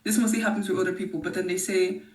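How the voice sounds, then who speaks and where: A woman from Nigeria, a podcast guest talking in a lively, expressive way and laughing often. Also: medium pitch, very fast. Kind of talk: casual conversation. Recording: roomy, distant mic.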